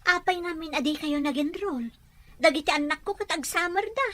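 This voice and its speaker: A woman's voice: extremely high pitch, normal speed, a bit bright, no room sound. A woman playing a nosy, outspoken village mother in a radio drama, probing and forthright, with an Ilocano accent. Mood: concerned.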